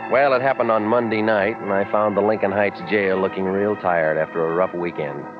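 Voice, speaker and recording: A man acts out a cynical private eye in a vintage radio play. He speaks low, in dry, wisecracking, clipped phrases. The recording is dull, with music clearly behind it. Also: lightly acted. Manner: deadpan, terse, sardonic. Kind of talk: 1940s radio drama, first-person narration and dialogue.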